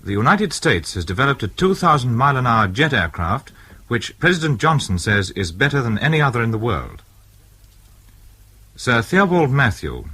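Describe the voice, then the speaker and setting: Male newsreader, British RP, 1960s radio bulletin style. Formal and measured, bright tone, animated intonation. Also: medium pitch, breathy edge. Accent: British Received Pronunciation. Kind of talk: 1960s radio news bulletin, scripted reading.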